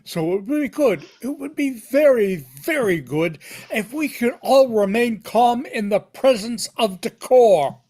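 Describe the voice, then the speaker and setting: A stern cleric commander played by a man at a table read gives orders in a high-pitched voice, formal and easily affronted. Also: expressive, a little slow, few pauses, darkish tone, exaggerated voice. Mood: stern, controlled fury.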